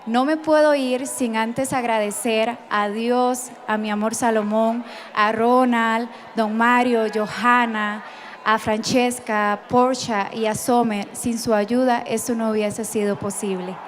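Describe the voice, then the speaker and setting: A woman's voice: a little high, fairly even intonation, measured pace, a little hiss or hum. A woman from Rica, giving an earnest speech of thanks as the outgoing titleholder. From Costa Rica. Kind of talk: gratitude speech and interview.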